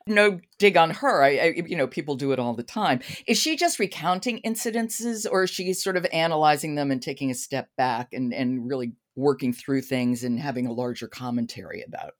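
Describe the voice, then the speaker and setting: A woman's voice: a bass voice, animated, a little fast, hardly any pauses. A woman hosting a book podcast in a casual, wry, chatty conversational way. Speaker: podcast host. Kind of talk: conversational book talk and interview.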